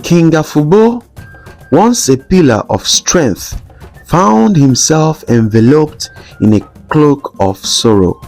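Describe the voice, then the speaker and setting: A man narrating a scripted folktale in a solemn, dramatic storytelling manner with measured phrasing. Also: mid-range voice, a little mellow. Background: background music.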